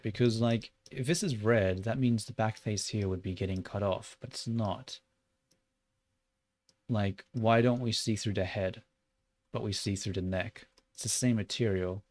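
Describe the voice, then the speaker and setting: A young Australian man livestreaming while he works, chatting loosely with lots of "like" and muttering curses at his software. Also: lowish voice. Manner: rambling and loose, heavy on "like", muttering and swearing at the software. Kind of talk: casual livestream chat while 3D modeling.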